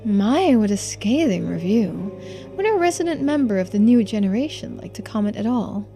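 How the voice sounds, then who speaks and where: A woman voicing a poised, unflappable female investigator in a video game, polite and gently needling in measured, formal phrases. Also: mid-range voice, sing-song, faint music behind. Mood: teasing. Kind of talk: game character voiced in a playthrough.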